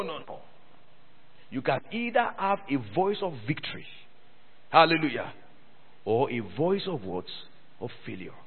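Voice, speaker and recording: A man's voice: high-pitched, breathy edge. A man preaching a sermon to a church, repeating phrases and calling for responses from the congregation. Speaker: pastor. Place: church hall. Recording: thin phone-like sound with long hall reverb.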